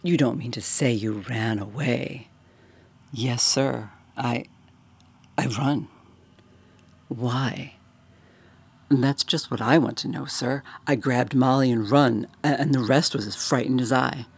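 Man doing a young Cockney bellhop, deferential, nervous, dropped g's, gravelly edge. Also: mid-range voice, takes it slowly, pauses often, heavily acted. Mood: uneasy. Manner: deferential, says sir constantly, dropped g's.

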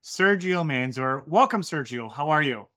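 A man hosting a podcast interview, upbeat and quick with enthusiastic interjections. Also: fairly high pitch, animated, a bit bright. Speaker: podcast host.

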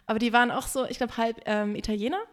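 A woman telling personal stories in a casual podcast chat, giggly and quick to act out what people said. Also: on the high side. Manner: giggly, animated storyteller who acts out quotes. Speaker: podcast co-host and stripper.

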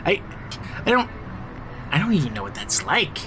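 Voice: medium pitch, very animated, normal speed, over music, lightly acted. An actor playing a jaded, bossy, sardonic insider of a crime family in a modern audio drama. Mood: giddy, exasperated. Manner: sardonic, sweary, commanding.

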